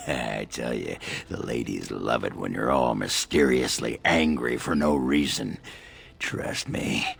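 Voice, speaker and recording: A crude, jovial dwarf berserker from a fantasy game. His voice is extremely deep and full of gravel, with a gruff, boozy, earthy delivery. Faint music sits behind it. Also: fairly bright tone, exaggerated voice. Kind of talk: video game character dialogue.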